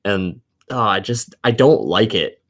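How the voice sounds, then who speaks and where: Blunt, low-voiced man swearing freely. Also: lively intonation, measured pace, fairly bright tone. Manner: blunt, heavily profane. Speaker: gaming podcast co-host. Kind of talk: casual gaming chat.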